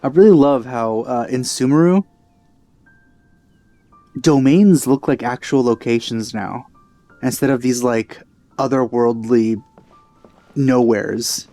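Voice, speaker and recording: A man with a mellow, relaxed voice at middle pitch gives casual let's play commentary. He talks off the cuff, with faint game music behind him. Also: slow pace, pauses often. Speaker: let's player. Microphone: headset.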